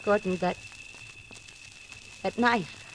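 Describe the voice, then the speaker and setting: An actress playing a trusting, anxious, affectionate wife in an emotional, hesitant 1940s radio acting style. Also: female voice, mid-range voice, drawn-out words. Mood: frightened, pleading. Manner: emotional, hesitant, breaking off and repeating words. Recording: old radio recording, faint noise.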